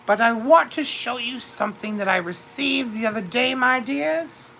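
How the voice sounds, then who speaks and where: A woman playing a grand, gravelly British duchess. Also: fairly high pitch, normal speed, pushed and bright, a little hiss or hum. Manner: theatrical, grand, calls everyone darling. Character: vain widowed duchess, woman in her fifties. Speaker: livestream host. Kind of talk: in-character livestream chat.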